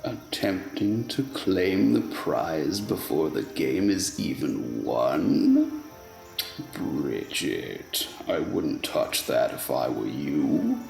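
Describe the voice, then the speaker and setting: A man playing a haughty, menacing fey king villain in a radio play, speaking with formal, disdainful theatrical delivery and a gravelly voice. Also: rumbling low, wildly swooping pitch, drawn-out words, darkish tone, reverberant, soft background music, full character voice. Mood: cool menace.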